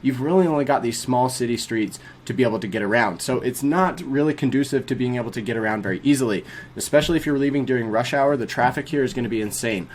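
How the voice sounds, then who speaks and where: Young male vlogger, brisk and friendly, slightly congested, recorded in a small home studio. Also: medium pitch, a little hiss or hum. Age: young adult. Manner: upbeat, fast-talking, addresses viewers as guys. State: a bit sniffly from a cold. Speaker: real estate agent. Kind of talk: casual explainer vlog.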